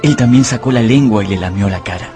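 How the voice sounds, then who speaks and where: An actor narrating an adventure novel as a storyteller in a dramatized radio audiobook. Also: male voice, fairly low pitch, fast talker, mellow, faint music behind, close to an everyday voice. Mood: tender storytelling.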